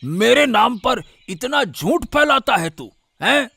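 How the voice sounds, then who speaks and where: A man's voice: very high voice, big pitch swings, slow pace, gravelly, sharp tone, breathy edge, heavily acted. A man voicing an irritable, scolding senior villager in a cartoon story. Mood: exasperated.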